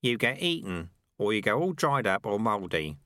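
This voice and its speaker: Man as a blunt doubting rat, swooping pitch. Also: very animated, moderate pace, pushed and bright, no room sound, studio quality. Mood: matter-of-fact.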